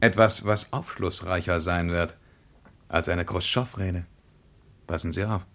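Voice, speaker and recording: An actor playing a detached, curious traveller in a radio play, speaking in measured, clipped phrases. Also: male voice, deep voice, steady tone, a bit bright. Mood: detached curiosity. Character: detached, observant male traveller, calmly curious. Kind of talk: German radio play acting.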